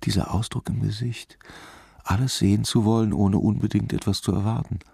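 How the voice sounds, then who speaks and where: A brooding, lovesick detective in a radio crime drama. His voice is low and husky, and he both narrates and speaks his part with melancholy urgency. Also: steady tone, brisk, dark tone, no echo, clean studio sound, full character voice. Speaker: lead actor and first-person narrator.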